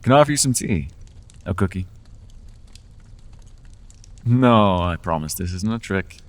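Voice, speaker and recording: A man's voice: low voice, lively intonation, a little slow. A man voicing a charming, manipulative male fey lord in an ASMR roleplay, speaking in a silky, coaxing, teasing way. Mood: welcoming. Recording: long reverb.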